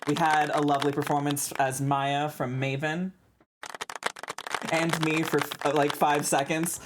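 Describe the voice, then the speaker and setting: A man with a somewhat high voice hosts a live game stream. He chats casually, in a jokey and effusive manner. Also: little pitch movement, a little slow, background noise. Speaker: stream host.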